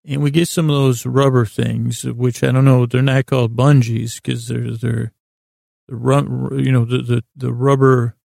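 A man with a heavily gravelly, fry-laden voice rambles through a bedtime podcast monologue. His delivery stays flat and low-key, full of stammers and meandering restarts. The sound is close and dry. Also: no echo. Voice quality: gravelly, creaky. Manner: meandering, halting, stammering with repeated false starts; drowsy, droning, low-key. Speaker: podcast host.

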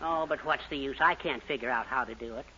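Scheming, flowery middle-aged fellow, sharp bright voice, old radio comedy, muffled recording. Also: male voice, mid-range voice, fast talker. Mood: fretful, scheming. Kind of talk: 1940s radio sitcom acting.

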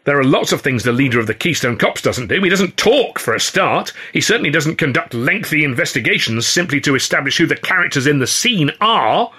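Incredulous, indignant male performer played by a man, emphatic, sharp, high-pitched, for audiobook argument scenes. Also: very animated, fairly quick, few pauses, slightly rough, exaggerated voice.

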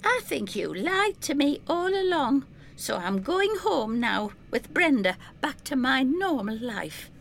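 A woman voicing a determined young woman who talks crisply and resolutely to her father. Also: high-pitched, very animated, measured pace, slight background noise, voice pushed up, heavily acted.

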